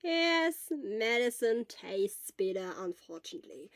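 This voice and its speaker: A woman voicing a kindly but stern female school nurse in an audiobook, speaking in a measured, firm, explanatory way. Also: on the high side, very animated, no room sound, clean studio sound. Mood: gently explaining. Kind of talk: acted audiobook dialogue.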